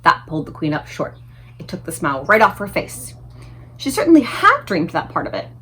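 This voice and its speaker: A woman reading a children's book aloud as an expressive storyteller. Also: fairly low pitch, brisk, a little hiss or hum.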